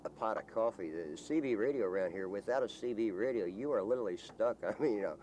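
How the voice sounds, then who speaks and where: A man with a very deep voice talks casually to an interviewer. He rambles in a folksy, halting way, like someone used to telling local stories. The recording carries clear background noise and vehicle rumble. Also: expressive, a little mellow. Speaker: local resident being interviewed.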